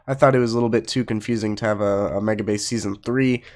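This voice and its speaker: A man's voice: on the low side, steady tone, fast talker, a little mellow. A man getting over a cold, giving casual let's play commentary as host of a gaming channel, explaining things in an informal, rambling way.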